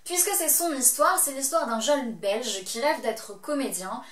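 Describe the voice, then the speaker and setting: A woman's voice: high voice, measured pace, fairly bright tone. Bubbly Belgian woman in an echoey room. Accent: Belgian French. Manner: playful, teasing, talks straight to viewer. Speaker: vlog host reviewing shows. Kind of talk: chatty show review vlog.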